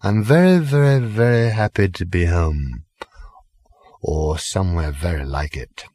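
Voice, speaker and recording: A man voicing a drunken, rambling, affronted storyteller, slurring and halting over his long words. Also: deep voice, darkish tone, no echo. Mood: mumbling, contented.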